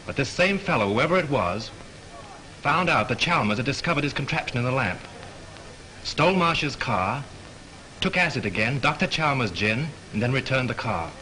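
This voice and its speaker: An actor playing a sharp male mystery writer who lays out a murder case with brisk confidence, in 1930s film style. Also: mid-range voice, lively intonation, slight background noise, rough recording. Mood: confident. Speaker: mystery writer turned sleuth.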